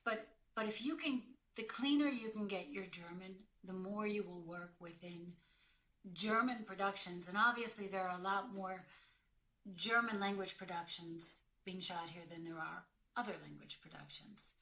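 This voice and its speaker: A woman's voice: mid-range voice, moderate pace, bright, forceful tone. A woman working as an actor and career coach, giving direct, emphatic advice in a conversational way. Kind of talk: conversational advice in a question-and-answer call.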